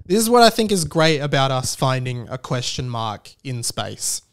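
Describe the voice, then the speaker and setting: A man hosting a casual comedic solo podcast, rambling with stammered restarts and animated, incredulous emphasis. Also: medium pitch, moderate pace. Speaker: podcast host.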